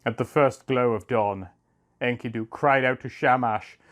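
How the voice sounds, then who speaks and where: A man's voice: mid-range voice, fairly even intonation, measured pace, fairly bright tone, lo-fi sound. A man reading an ancient epic aloud as a dramatic storyteller.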